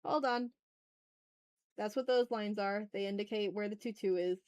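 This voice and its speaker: A woman's voice: medium pitch, moderate pace, darkish tone, lo-fi sound. Casual woman art streamer, chatting and muttering. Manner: self-talking, repeats short phrases, mild exclamations. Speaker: streamer, artist hosting a live art stream. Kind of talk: casual livestream chat, muttering to self while drawing.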